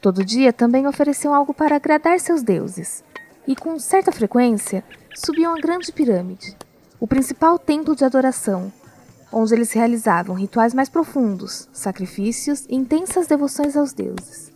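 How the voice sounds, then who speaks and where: A woman narrating a scripted audio drama as a storyteller, with measured, deliberate phrasing. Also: high-pitched, lively intonation, darkish tone, slight background noise. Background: music.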